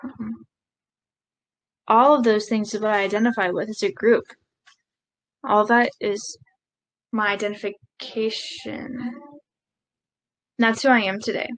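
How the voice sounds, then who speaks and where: A woman voicing a live sign language interpretation of a speech, halting, with pauses and self-corrections. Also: medium pitch, steady tone, low-quality recording.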